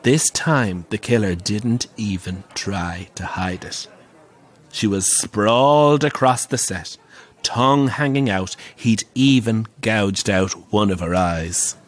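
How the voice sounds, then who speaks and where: An actor playing a camp, wry, middle-aged Irish pantomime dame who relishes telling grisly ghost stories in an audio drama. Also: male voice, fairly low pitch, slow. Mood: ominous, relishing. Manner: theatrical, wry, relishing the tale.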